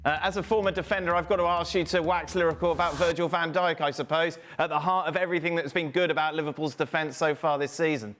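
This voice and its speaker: A man with a slightly high voice hosts a football studio panel. He puts questions to his pundits in a brisk, conversational way. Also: steady tone, a bit bright, soft background music. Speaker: show host.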